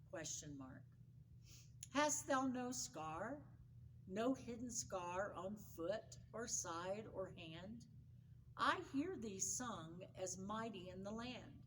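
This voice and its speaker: Female devotional reader, unhurried and measured, weighty emphasis, faint noise behind the voice. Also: mid-range voice, low-quality recording. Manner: measured, dramatic pauses and emphasis. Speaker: devotional reader, pastor's wife. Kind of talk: scripted devotional reading.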